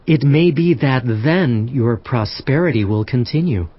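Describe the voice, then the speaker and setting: Husky, earnest prophet. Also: male voice, mid-range voice, animated, unhurried. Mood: earnest, grave. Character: earnest, respectful prophet interpreting a king's dream. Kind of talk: dramatized scripture audio drama. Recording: slightly muffled.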